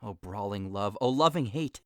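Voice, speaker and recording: A man's voice: on the low side, expressive, normal speed, no echo, studio quality, natural voice. An actor playing a lovesick young man in an audio drama, sighing and wistful, breaking into passionate outbursts.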